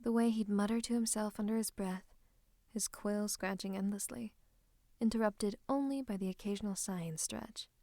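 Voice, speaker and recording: A woman playing a scheming royal advisor in a fantasy roleplay, speaking close to the listener in a coaxing, teasing, falsely comforting way with breathy asides. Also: mid-range voice, moderate pace, dark tone, dry recording, lightly acted. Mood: sympathetic, silky. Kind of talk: roleplay ASMR monologue to the listener. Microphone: close microphone.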